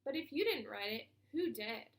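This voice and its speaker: A woman's voice: a little high, animated, moderate pace. A woman voicing a firm but kindly female schoolteacher, stern yet encouraging, in a children's storybook. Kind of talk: children's storybook read-aloud.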